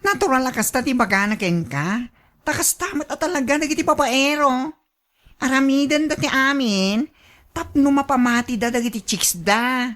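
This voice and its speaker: A woman's voice: high-pitched, animated, normal speed, a bit bright. A woman playing a frank, scolding female confidante in a radio drama, matter-of-fact, with an Ilocano accent. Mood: cautioning.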